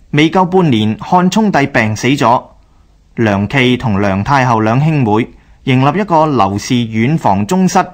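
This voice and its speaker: A male narrator presents history for radio in Hong Kong Cantonese. His voice sits at a middle pitch, and he explains things formally, in measured scripted sentences.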